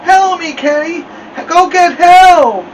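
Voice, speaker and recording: A man's voice: extremely high pitch, takes it slowly, recorded from a distance, slight background noise, voice pushed up. A man voicing a panicked, crying boy in an audiobook story, mumbling as if his mouth is stuck. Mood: desperate, wailing. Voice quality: mumbling, muffled. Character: panicked boy with lips frozen to mirror, crying.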